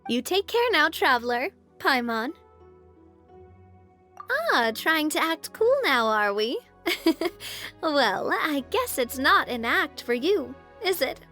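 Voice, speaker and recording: A brisk, playful young leader from a fantasy game. Her voice is high, bright and animated. She sounds organised and quick to banter. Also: faint music behind, heavily acted. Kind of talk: game voice acting.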